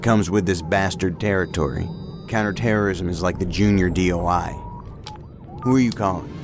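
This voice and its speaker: An actor playing a skeptical counterterrorism agent in an audio drama, blunt, sardonic and impatient. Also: male voice, low voice, moderate pace, noisy background. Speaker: federal agent.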